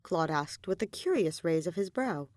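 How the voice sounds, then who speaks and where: A woman's voice: fairly low pitch, expressive, moderate pace, darkish tone, no room sound, studio quality. A woman reading scripted romantic fiction as a narrator. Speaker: podfic narrator.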